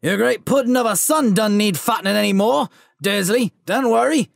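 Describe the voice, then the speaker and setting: A woman voicing a huge, shaggy male giant who is a groundskeeper, speaking in a loud, booming, rustic way. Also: normal speed, creaky, no echo, clean studio sound. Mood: gruff.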